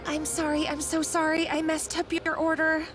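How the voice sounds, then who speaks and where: A woman's voice: high voice, monotone, moderate pace, lightly acted. A woman voicing an anxious, apologetic young female cook in a video game, stammering and pleading. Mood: panicked pleading. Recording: game audio with background music.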